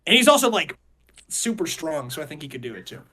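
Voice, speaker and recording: A man with a notably high voice and lively, swinging intonation. He hosts a casual group chat, joking in slang and repeating himself for emphasis. His delivery is excitable and loose. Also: fast, slightly rough. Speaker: podcast host.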